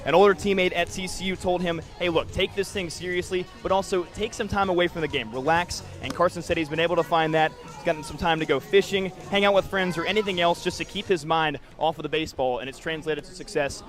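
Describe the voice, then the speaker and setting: Fast-talking, higher-pitched young male sportscaster. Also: fairly even intonation, a bit bright, soft background music. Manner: polished, fluent broadcast delivery. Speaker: sports broadcaster, interviewer and sideline reporter. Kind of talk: upbeat sports interview and sideline report.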